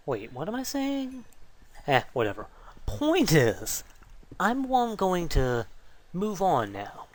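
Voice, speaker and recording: A young man chats informally to his viewers in a rambling, self-deprecating way. His pitch is average but moves around a lot as he goes. Faint noise sits in the recording behind him. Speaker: tutorial video creator. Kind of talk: casual rambling update to viewers.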